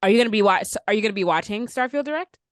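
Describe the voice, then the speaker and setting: A woman's voice: medium pitch, expressive, very fast, no room sound, studio quality. A woman hosting a podcast, chatting casually in an inquisitive, reactive way. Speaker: podcast host. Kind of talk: casual conversational chat.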